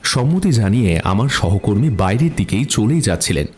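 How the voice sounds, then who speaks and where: A man's voice: on the low side, big pitch swings, moderate pace, a crowd in the background. An actor playing a composed office worker narrating his detective story in first person.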